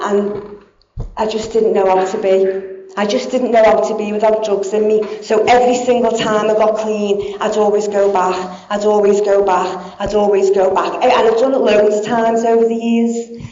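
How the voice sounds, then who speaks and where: A woman's voice: fairly high pitch, darkish tone. A woman, giving a candid, blunt personal recovery talk with plenty of swearing. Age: 40s. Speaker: speaker in recovery. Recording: echoey dull hall sound.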